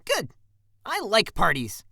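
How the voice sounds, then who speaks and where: A woman's voice: medium pitch, huge pitch swings, slow, creaky, bright, forceful tone, breathy edge, no echo, full character voice. A woman playing a cocky, upbeat space gunslinger in a sci-fi comic dub, breezy in manner. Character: cocky, upbeat alien gunslinger crew member. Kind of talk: comic dub character acting.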